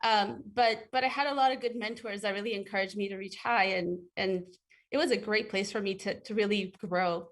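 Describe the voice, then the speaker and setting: A woman's voice: mid-range voice, steady tone, fairly quick. A woman from Ecuador, a guest in an interview, answering thoughtfully with frequent fillers and restarts.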